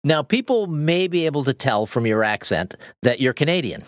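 A woman hosting a podcast interview, friendly and quick to laugh. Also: deep voice, lively intonation, dry recording, clean studio sound. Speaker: podcast host.

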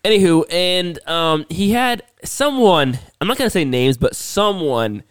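A man's voice: sits high, expressive, a little slow, smooth, no echo, clean studio sound. A man on a podcast, sick with a sore throat, telling a long story in a rambling way with lots of restarts. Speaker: podcast co-host. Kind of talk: casual chat.

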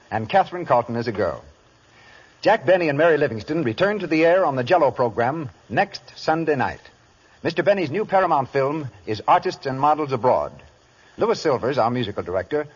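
A man announcer reading a cast list in a brisk, formal radio delivery. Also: medium pitch, slightly rough. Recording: dull lo-fi 1930s radio broadcast.

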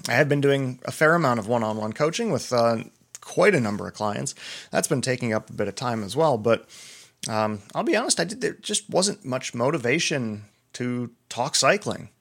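Man, middle-aged, solo podcast host, doctor, casual chat, digressive, mid-pitched voice. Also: expressive, moderate pace, gravelly, a bit bright. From Pennsylvania. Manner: chatty, digressive, self-deprecating.

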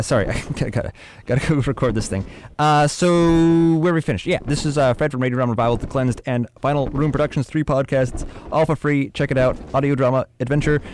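Male guest, mid-pitched, offhand improvised delivery, clearly noisy field recording with clicks and vehicle-like noise. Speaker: guest audio drama producer. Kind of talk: casual, improvised spoken segment.

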